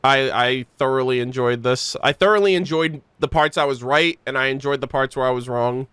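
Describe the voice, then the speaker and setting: A man's voice: mid-range voice, fairly quick, a bit bright, clean tone, no room sound. A man hosting a gaming video, giving casual reaction commentary over gameplay. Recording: game music behind the voice.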